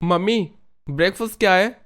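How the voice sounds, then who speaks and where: A man's voice: high voice, moderate pace, fairly bright tone. A man playing a concerned, exasperated young husband and son in a family story cartoon. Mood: casual. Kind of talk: Hindi family moral-story cartoon acting.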